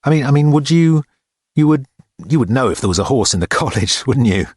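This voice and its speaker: A man's voice: medium pitch, lively intonation, fairly quick, a little mellow, slightly rough, no echo, clean studio sound, pitched above the performer's own voice. A man voicing a flustered, awkward, hesitant man in an audiobook, stammering and apologetic. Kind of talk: audiobook character dialogue.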